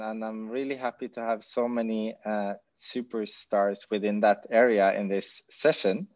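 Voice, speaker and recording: A man's voice: fairly low pitch, no room sound. A man in his thirties moderating an online panel with a headset, friendly, with fillers. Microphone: headset on video call. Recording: dull lo-fi call audio.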